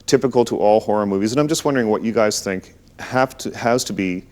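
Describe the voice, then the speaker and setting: A man's voice: on the low side, fast talker. A man hosting a talk show, chatting casually about films and repeating words as he thinks. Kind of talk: casual film chat.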